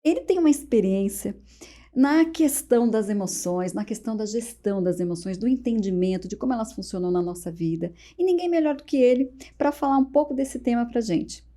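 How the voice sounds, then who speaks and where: Female interview host, Brazilian accent, mellow and slightly high-voiced, effusive, casual conversational delivery. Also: expressive, a little fast. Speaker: podcast host.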